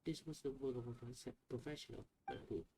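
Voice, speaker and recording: A man's voice: medium pitch, fairly even intonation, a little fast, background noise. A man making a gameplay video, reading game text aloud and making casual, offhand remarks. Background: loud game music.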